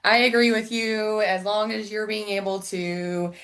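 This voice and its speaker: A woman's voice: medium pitch, fairly even intonation, unhurried, a bit bright. A woman hosting a casual interview podcast, chatty and effusive, rambling through her thoughts over a video call. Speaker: podcast host.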